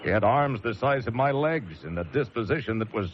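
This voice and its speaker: An actor playing a wry, world-weary American lighthouse keeper, narrating a horror tale with deliberate, dramatic delivery. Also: male voice, medium pitch, lively intonation, a little hiss or hum, lightly acted. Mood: grim, suspenseful. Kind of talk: first-person radio drama narration. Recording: muffled radio drama recording with music.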